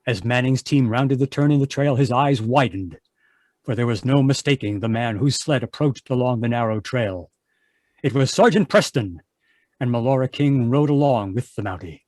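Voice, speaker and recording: A man narrates an old-time radio adventure in a mid-pitched voice. The sound is close and dry, with a scripted storytelling delivery. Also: animated, moderate pace, a little mellow, dry recording. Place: home, live online video performance.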